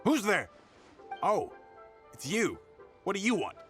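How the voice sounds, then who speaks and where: A man's voice: high-pitched, sing-song, slow, fairly bright tone, soft background music, full character voice. A man voicing a sleazy, bullying yet cowardly tabloid blackmailer in a video game. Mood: startled, threatening. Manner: menacing, then flustered stammering bluster.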